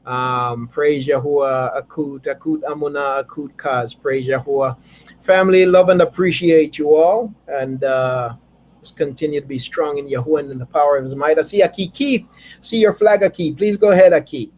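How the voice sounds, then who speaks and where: A man's voice: on the high side, normal speed, darkish tone, a little hiss or hum. A man leading an online religious study group, chatting casually and often repeating his words. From Jamaica.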